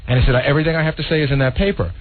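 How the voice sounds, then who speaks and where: A man's voice: on the high side, racing. A man, a radio show staffer, casually recounting things on air. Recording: tinny narrowband old radio recording, faint hiss.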